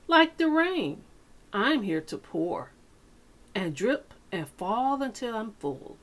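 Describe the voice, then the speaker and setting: Woman, children's read-aloud, teacherly, slow pace, highly animated intonation, deliberate dramatic pauses. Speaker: teacher reading a picture book aloud.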